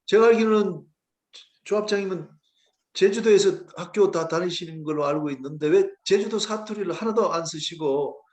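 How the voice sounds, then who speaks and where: High-voiced polite male moderator. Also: normal speed, a little mellow. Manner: polite and encouraging, with many "예". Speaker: host and professor. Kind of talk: moderating an online discussion.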